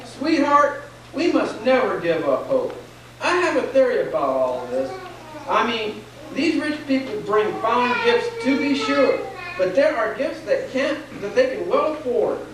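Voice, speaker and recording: A performer playing a kindly, devout old grandfather in a puppet show, telling things earnestly and gently. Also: mid-range voice, very animated, a little slow. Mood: gentle, reflective. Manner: earnest storytelling, gently reassuring. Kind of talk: stage puppet show dialogue. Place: church, recorded from afar. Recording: very echoey, distant, noisy 1990s church recording.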